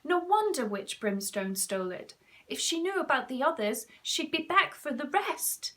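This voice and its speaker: A man's voice: very high-pitched, very animated, moderate pace, bright, forceful tone, voice pushed up, exaggerated voice. A man reading a children's story, voicing a haughty, thoughtless young princess with a prim manner. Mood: surprised.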